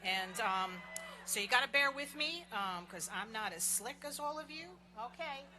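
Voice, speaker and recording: A woman leading a stadium crowd in chants at a graduation, energetic and playful. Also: on the high side, moderate pace, noisy background. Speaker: ceremony speaker leading the crowd. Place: outdoor stadium. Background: crowd cheering.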